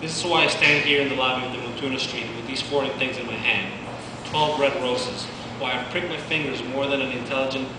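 Young man reading fast in an echoey bookstore. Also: medium pitch, steady tone. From Colombia. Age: 20s. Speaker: author reading his fiction. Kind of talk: literary reading. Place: small bookstore indoors. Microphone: far camera. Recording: distant, echoey, noisy room.

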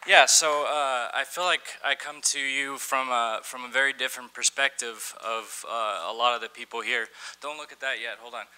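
A young man at a podium microphone in a big dark lecture hall, his voice very bright and forward. He speaks haltingly, full of "uh"s and restarts. Wry, offhand asides break into his conference talk. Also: medium pitch, clean tone. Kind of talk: conference talk with some reading aloud.

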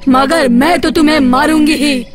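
A woman voicing a menacing, gloating man-eating witch in an animated horror story, with a drawn-out delivery. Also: sits high, faint music behind, close to an everyday voice. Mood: haughty, stern.

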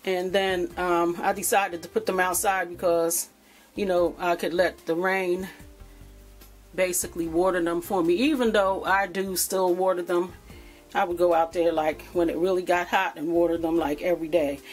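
A woman's voice: lowish voice, little pitch movement, normal speed, soft background music. A woman chatting casually while she films her backyard garden and her indoor plants on a handheld phone, in a rambling, homey way. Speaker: vlogger showing her garden. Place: backyard outdoors, then indoors by a window.

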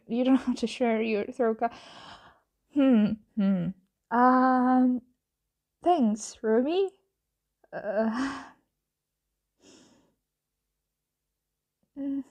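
Woman acting a creaky-voiced, hesitant vampire roommate. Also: on the high side, dark tone. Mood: flustered, nervous. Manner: hesitant, stammering, evasive. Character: flustered young female vampire roommate, tired, hungry, secretive. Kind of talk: ASMR roleplay, soft conversational acting.

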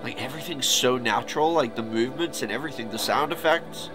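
A man's voice: mid-range voice, fast, music in the background, rough recording. A man in his thirties with a stuffy, nasal voice, chatting casually and rambling as he hosts a reaction video from a small room. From New York, US. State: congested, stuffy nose. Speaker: YouTube reaction host. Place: small room full of horror collectibles.

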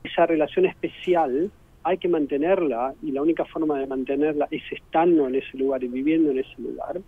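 A man answering an interview in an earnest, quick way, heard over a tinny phone line. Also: fairly high pitch, steady tone, breathy edge.

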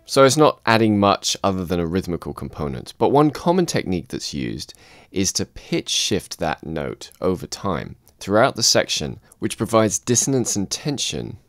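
A man calmly walking through a music production software tutorial, step by step. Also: deep voice, dry recording, clean studio sound. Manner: calm step-by-step explaining. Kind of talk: screen-recorded software tutorial.

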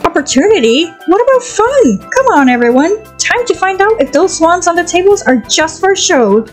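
A woman's voice: very high-pitched, big pitch swings, moderate pace. A woman playing a brash, fun-loving young mercenary in a game fan dub, cheeky and bold. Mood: teasing, rowdy. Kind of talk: fan dub voice acting of a fantasy story game. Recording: music behind the voice.

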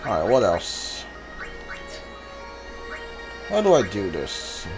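A man's voice: on the low side, wildly swooping pitch, slow pace. A man doing casual game commentary, thinking aloud in short, muttered remarks as he plays. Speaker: let's play streamer. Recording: game music under voice. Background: video game music and sounds.

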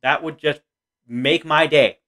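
Bright-voiced American man, halting. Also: on the high side, steady tone. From Oregon, United States. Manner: halting, repetitive, earnest fan talk. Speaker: reaction video host. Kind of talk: casual reaction commentary. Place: small room indoors.

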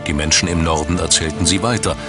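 A man with a very low-pitched voice narrates a documentary from a script. Music plays clearly behind his voice. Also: fairly even intonation, fast talker.

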